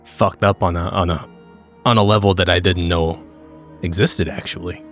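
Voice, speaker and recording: A man improvising in character in a tabletop game, with a blunt, sweary and halting casual delivery. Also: low voice, fairly even intonation, fairly bright tone. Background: background music.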